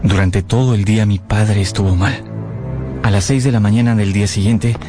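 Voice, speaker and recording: An actor plays a sincere, lovestruck young man in a radio drama, recounting his story in measured, literary phrases. His voice sits on the low side, with music clearly playing behind it. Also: steady tone, lightly acted. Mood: solemn narrating. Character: Efraín, earnest young lovestruck son narrating his past. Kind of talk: radio drama narration and acting.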